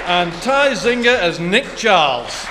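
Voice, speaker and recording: Male announcer, unhurried and formal, animated intonation, old-time radio style, reverberant hall with clear crowd noise. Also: high voice. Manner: measured, formal. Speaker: announcer reading cast credits. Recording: noisy hall with audience sound.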